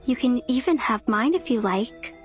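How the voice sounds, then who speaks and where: A woman's voice: fairly high pitch, lively intonation, moderate pace, soft background music, lightly acted. A woman voicing a lonely, clingy, childlike girl of the forest in a video game, with a wistful, pleading way of talking. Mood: eerie, tender.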